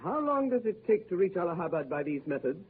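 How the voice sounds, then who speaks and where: An actor playing a calm, precise English gentleman traveler who talks in clipped commands, in 1940s radio acting on a tinny old recording. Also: sits high, fairly quick, slightly rough, exaggerated voice.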